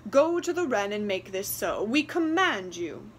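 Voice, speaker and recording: A woman's voice: high-pitched, expressive, a little slow, a bit bright, voice pushed up. A woman voicing a greedy tsar and tsarina in a storybook, growling and hissing commands. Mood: commanding. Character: greedy royal couple, tsar and tsarina, domineering.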